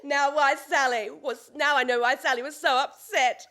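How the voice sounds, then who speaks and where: A woman's voice: high-pitched, steady tone, moderate pace, sharp tone. A woman playing an indignant, vengeful wife in a farcical radio play, declaiming theatrically with many exclamations. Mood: gleefully vengeful. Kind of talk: stage farce performed as radio play.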